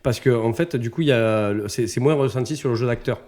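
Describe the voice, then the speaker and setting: A man's voice: medium pitch, fast talker, darkish tone. A man hosting a casual podcast chat, steering the talk with questions. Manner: chatty, steers the conversation with questions. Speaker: podcast host.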